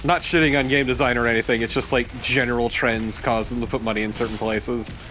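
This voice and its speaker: A man with a medium-pitched voice joins in on casual gameplay commentary. His delivery is sarcastic and loose, like banter among friends. Faint noise sits in the background. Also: flat delivery, brisk, a bit bright, poor audio.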